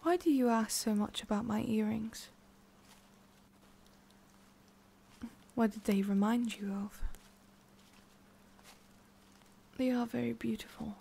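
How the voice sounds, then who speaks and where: A woman voicing a haughty, prickly witch with a hidden soft side in an ASMR roleplay, soft and often whispery with a creaky edge. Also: on the high side, brisk. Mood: aloof, curious. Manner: soft, often whispery, breathy sighs, curt asides.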